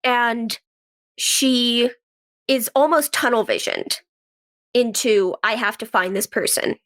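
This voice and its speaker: Higher-pitched woman chatting as interview guest. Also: slow, fairly bright tone. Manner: conversational, frequent "like". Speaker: guest, actress and writer. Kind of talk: casual interview chat.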